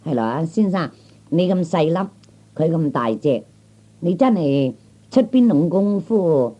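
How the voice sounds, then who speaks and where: A woman's voice: low-pitched, lively intonation, a little slow, mellow. A woman playing an admiring, curious wife in a Cantonese comic radio play, with a wondering, questioning manner. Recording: dull old radio recording.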